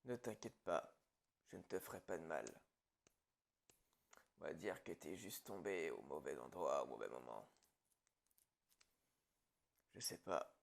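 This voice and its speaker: A calm, menacing psychopath, acted out by a man in an ASMR roleplay. The voice is very creaky and unhurried, sitting fairly low. He talks in a hushed, falsely reassuring way that drops into whispers. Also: heavily acted. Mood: menacing reassurance. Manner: unhurried, hushed, dropping into whispers, darkly casual.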